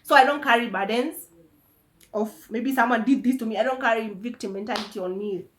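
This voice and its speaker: Woman, 30s, somewhat high pitch, earnest and emotional, halting speech, vlog testimony. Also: a little hiss or hum. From Tanzania. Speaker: vlogger. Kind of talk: personal faith testimony.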